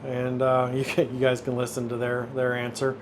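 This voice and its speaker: A man's voice: medium pitch, monotone, moderate pace. Man in his fifties, noisy recording. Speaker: host of a YouTube channel. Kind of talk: casual unscripted talk, intro and phone interview.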